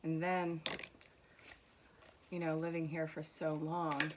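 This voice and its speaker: A woman's voice: deep voice, flat delivery, takes it slowly, noisy background. A young woman with a blended Vermont and New York accent, giving a casual, reassuring tarot reading and reading card messages aloud to her viewers. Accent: mixed Vermont and New York. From Vermont, USA. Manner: warm, reassuring, gently teasing, reads card texts aloud. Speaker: tarot reader.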